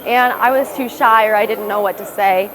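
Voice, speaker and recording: A young woman with a thick Midwestern accent talks about her life in a candid, reflective way, sometimes stumbling over a word and starting again. Her voice sits at an ordinary middle pitch. A clear layer of background noise runs underneath. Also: fairly even intonation, moderate pace. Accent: Midwestern American. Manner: candid, reflective, self-correcting restarts. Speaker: rapper. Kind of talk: documentary interview.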